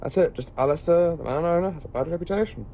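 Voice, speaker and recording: A man playing a brisk, eccentric time-travelling professor in an audio drama, musing and hesitant yet commanding. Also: on the high side, vocal fry, mellow, a little hiss or hum. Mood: probing.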